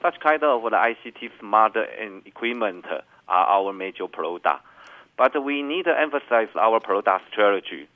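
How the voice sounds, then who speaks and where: A man's voice: on the low side, animated. A man from Taiwan, a company executive, answering interview questions in careful, earnest English. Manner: careful non-native English, measured and earnest. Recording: phone-like narrow band.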